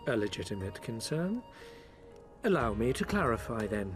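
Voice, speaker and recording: An actor playing a smooth-talking, foppish male merchant agent in a game cutscene, speaking in a theatrical, flowery, ingratiating way. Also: medium pitch, sing-song, normal speed, close to an everyday voice. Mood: explaining. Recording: with background music.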